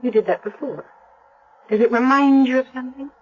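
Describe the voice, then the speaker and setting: An American actress playing a caring, earnest, gently persistent woman doctor in a radio drama. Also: high voice, brisk, husky. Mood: probing. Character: caring, earnest, probing female hospital doctor. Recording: dull vintage radio broadcast.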